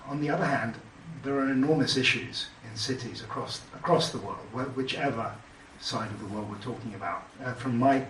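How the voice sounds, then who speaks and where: A man's voice: mid-range voice, fairly quick, few pauses, a bit bright. A man with a British accent, a panelist and entrepreneur, speaking hesitantly with many restarts. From Britain. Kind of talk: panel discussion remarks. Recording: echoey room, distant mic, background noise.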